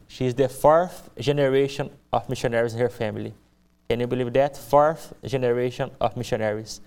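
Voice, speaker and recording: A young man from Brazil speaks English with a thick Brazilian Portuguese accent, his first language being Portuguese. He presents his missions work to a church congregation in an earnest, friendly way, choosing his words with care. His voice comes through smooth and clean, at a middling pitch. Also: a little mellow. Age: young adult. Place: church service.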